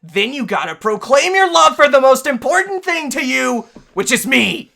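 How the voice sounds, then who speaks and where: A woman voicing a brash, fiery fish-warrior woman who bosses and encourages loudly in a visual novel game. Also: big pitch swings, normal speed, gravelly, heavily acted. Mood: bossy, encouraging. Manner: forceful, booming encouragement. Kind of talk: amateur visual novel voice acting.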